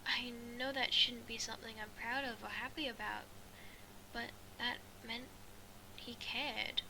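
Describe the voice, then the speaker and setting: A woman with a fairly high voice reads a first-person story aloud over clear background noise. Her delivery stays within a very narrow, flat range of pitch. She goes haltingly, tripping on phrases and going back over them like an amateur reader. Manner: halting, stumbling and rereading phrases. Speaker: amateur fan fiction reader.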